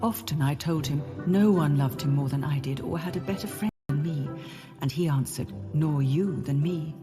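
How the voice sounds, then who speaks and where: A woman's voice: deep voice, normal speed, natural voice. An actress reading the journals of a devoted widowed queen, as a dramatic documentary reading. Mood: tender devotion. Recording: music behind the voice.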